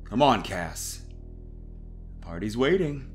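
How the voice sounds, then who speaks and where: A woman voicing a menacing, coolly controlling young fraternity brother in a horror story, husky and deliberate. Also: a bass voice, sing-song, pitched below the performer's own voice, full character voice. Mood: grave, insistent. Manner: slow, deliberate, ominous. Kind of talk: scripted horror story character. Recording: music bed behind the voice.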